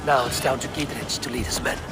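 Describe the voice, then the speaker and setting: An actor playing a battle-hardened, resolute Viking raider in a video game, speaking in terse, measured lines. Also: male voice, mid-range voice, fairly even intonation, loud music behind the voice, natural voice. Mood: focused. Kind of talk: video game cutscene acting.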